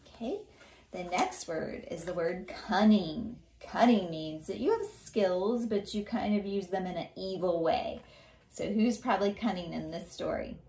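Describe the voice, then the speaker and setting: A woman speaks as a teacher to young children during a read-aloud lesson. Her voice sits at a medium pitch, and she explains each point in simple, conversational terms. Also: dark tone, reverberant. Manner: explanatory, addressing young students.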